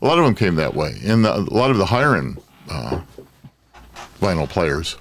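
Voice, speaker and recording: A man's voice: low voice, big pitch swings, normal speed, fairly bright tone, slight background noise. An older man co-hosting a podcast, chatting casually and telling anecdotes. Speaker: podcast co-host.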